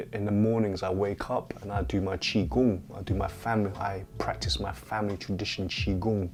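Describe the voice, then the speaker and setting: A man in his forties, an energy healer answering viewers' questions in a calm, unhurried, reflective way on a lapel mic at home. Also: on the low side. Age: 40s. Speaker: energy healer and massage therapist. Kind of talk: livestream Q&A with viewers. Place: dim lamp-lit living room. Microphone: clip-on lapel mic.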